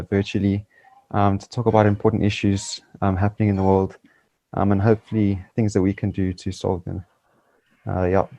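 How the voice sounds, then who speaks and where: A teenage boy leading an unscripted prayer, halting and full of fillers. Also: lowish voice, gravelly, dark tone, no echo. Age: teens. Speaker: youth member leading prayer. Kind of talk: spontaneous prayer.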